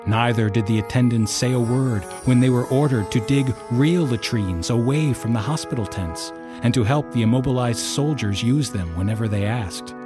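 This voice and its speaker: A man's voice: mid-range voice, moderate pace, natural voice. A man narrating a historical war tale for an audio drama in a grave, wry storytelling manner. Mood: measured, wry. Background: music under the narration.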